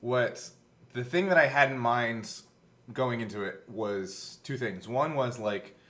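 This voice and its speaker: A man's voice: medium pitch, takes it slowly, sharp tone. A man co-hosting a casual chat, explaining his thinking in circling, self-correcting phrases. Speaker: podcast co-host. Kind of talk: casual behind-the-scenes chat.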